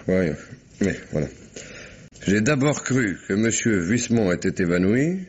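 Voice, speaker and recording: Low-voiced, wry police commissioner, muffled recording. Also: male voice, darkish tone. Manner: probing, familiar, wryly ironic. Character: shrewd, talkative male police commissioner questioning a suspect. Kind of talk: French 1950s-style radio mystery acting. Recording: muffled, noisy old radio recording.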